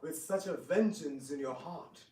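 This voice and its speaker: A man playing a sly, flattering, scheming visiting king in an amateur dramatic reading, with theatrical, drawn-out phrasing. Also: on the high side, natural voice. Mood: mock reproving. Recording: echoey room, distant mic, faint noise.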